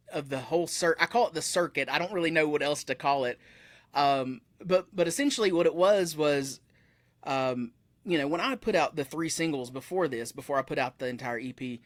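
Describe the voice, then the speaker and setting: A man's voice: a little high, fairly bright tone, no echo. Chatty man in his thirties, dry sound. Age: 30s. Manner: rambling, self-correcting, laughs often. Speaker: musician guest. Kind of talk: casual interview chat. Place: room with metal posters behind him.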